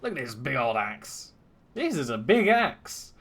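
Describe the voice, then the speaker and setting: A man's voice: medium pitch, very animated, measured pace, a bit bright. Playful young man streaming a game. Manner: playful, jokey, self-mocking. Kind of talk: casual gameplay commentary.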